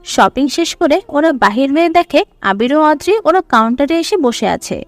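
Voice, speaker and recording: A woman's voice: sits high, animated, brisk, faint music behind. A woman narrator reading a scripted dramatic story.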